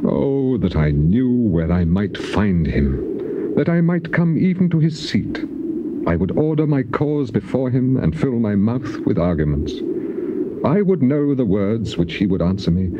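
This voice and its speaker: An actor playing a suffering, aggrieved and devout biblical man in a solemn, declamatory scripture drama, on an old cassette recording. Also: fairly low pitch. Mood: bitter yearning. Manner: declamatory, anguished, archaic formal phrasing. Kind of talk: dramatized scripture reading, solemn theatrical delivery. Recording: dull, very noisy old cassette tape.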